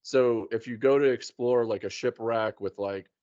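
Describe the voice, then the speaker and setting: A man chatting casually and a bit haltingly, in informal language, on a dull lo-fi recording. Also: mid-range voice, fairly even intonation, darkish tone, no room sound. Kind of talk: casual chat.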